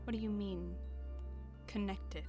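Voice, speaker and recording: A woman's voice: medium pitch, fairly even intonation, slow pace, mellow, close to an everyday voice. A woman playing a blunt, self-doubting female warrior in a scripted audio roleplay, informal and questioning. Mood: alarmed disbelief. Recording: music behind the voice. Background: background music.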